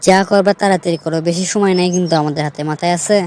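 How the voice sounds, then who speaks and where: Woman doing impatient young cartoon girlfriend, scolding, talks really fast, bit low pitched. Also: steady tone, darkish tone, close to an everyday voice. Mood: nagging, impatient. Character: nagging, impatient young girlfriend in secret marriage. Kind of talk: comedy cartoon dialogue.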